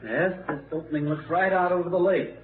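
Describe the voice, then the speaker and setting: Man acting young detective, courteous, measured, curious, slightly high, tinny old radio drama. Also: fairly even intonation, crowd noise, natural voice. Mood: calm, curious. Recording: tinny 1939 radio broadcast with heavy background din.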